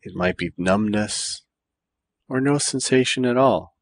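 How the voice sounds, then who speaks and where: A man leading a guided meditation in a calm, measured way, with deliberate phrases and pauses between them. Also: fairly low pitch. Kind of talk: guided body scan meditation.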